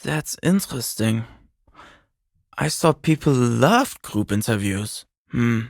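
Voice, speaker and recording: An earnest young man, a self-serious communist intellectual in a video game. He speaks with bookish precision and a pedantic streak, in a mid-pitched voice with noticeable fry. The sound is dry, with no room around it. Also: animated, takes it slowly, no room sound. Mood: thoughtful. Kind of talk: video game character voice lines.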